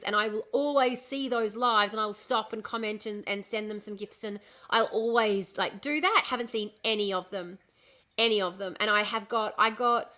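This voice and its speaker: A young woman chatting on a casual live stream, ranting and swearing freely while her voice is going hoarse. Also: medium pitch, sharp tone. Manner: ranting, lots of swearing and restarts. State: losing her voice, coughing. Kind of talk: casual live-stream chat reading comments.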